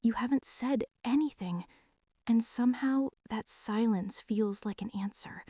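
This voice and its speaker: Woman voicing a nervous woman CEO confessing feelings, ASMR roleplay, soft halting delivery with whispers, slightly high voice, dry close sound. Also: breathy edge, dry recording. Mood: hushed. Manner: hushed, halting, slips into whispers.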